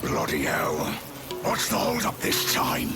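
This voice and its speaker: A man's voice: extremely low pitch, wildly swooping pitch, unhurried, gravelly, over loud music, full character voice. An actor playing a crude, boastful male demon in a game cutscene, with a coarse taunting manner. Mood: mocking. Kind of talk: video game cutscene acting. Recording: loud score under the voice.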